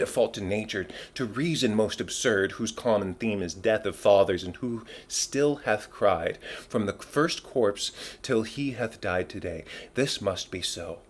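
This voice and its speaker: A man performs a middle-aged king from a Shakespeare play. He speaks in a fairly low voice, stately and declamatory. His authority is smooth and formal, as in a dramatic audiobook.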